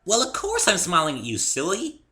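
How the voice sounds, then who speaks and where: A man's voice: high voice, wildly swooping pitch, normal speed, bright, forceful tone, voice pushed up, exaggerated voice. A man voicing a perky, silly female pony in a dramatic story reading, bubbly and playfully teasing. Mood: giggly, playful.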